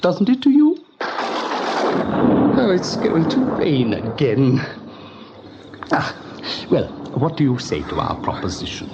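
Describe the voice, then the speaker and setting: A man's voice: on the high side, wildly swooping pitch, takes it slowly, vocal fry, long pauses, heavily acted. A man playing an urbane, over-polite, slyly welcoming rabbit in an eighties radio drama, with languid, affected manners. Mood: affable, evasive. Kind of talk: 1980s radio drama acting. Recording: dull, faintly noisy old radio tape.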